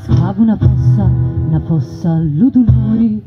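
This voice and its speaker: A woman singing the answering lines of a folk lament with slow, sustained phrasing. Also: medium pitch, over loud music. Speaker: singer-narrator. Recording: dull lo-fi radio broadcast.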